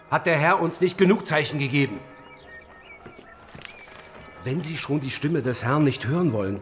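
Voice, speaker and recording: An actor playing a zealous revolutionary preacher, impassioned, insistent and declamatory, in a historical audio drama. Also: male voice, fairly high pitch, fairly bright tone, lightly acted.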